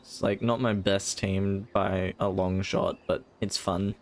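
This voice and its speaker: A man's voice: sits low, flat delivery, slow pace, a little hiss or hum. A man doing casual live game commentary, muttering short playful reactions as he plays. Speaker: video game streamer. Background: game music.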